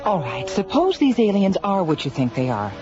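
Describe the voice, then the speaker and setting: Skeptical, lively woman scientist. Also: on the low side, normal speed. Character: skeptical, level-headed professional woman scientist in charge. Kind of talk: radio drama acting. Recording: dull radio recording, music behind.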